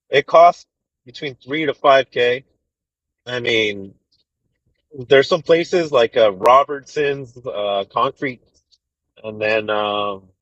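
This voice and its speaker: A man's voice: mid-range voice, a bit bright, dry recording, lo-fi sound. Laid-back man in his thirties, dry sound. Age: 30s. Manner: laid-back, rambling, full of fillers and "dude". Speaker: truck driver livestreamer. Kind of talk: casual livestream chat with viewers. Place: inside a truck cab.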